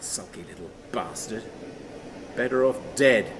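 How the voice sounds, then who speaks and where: An actor playing a bitter, brooding man haunted by memories, rambling and halting in a radio play monologue. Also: lowish voice, natural voice. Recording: noisy, steady ambient sound behind.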